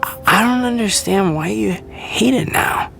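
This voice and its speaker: A man's voice: high-pitched, measured pace, creaky, fairly bright tone, soft background music, exaggerated voice. A man voicing an earnest, goofy young actor in an audio drama, in a playful, sing-song way. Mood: sincere.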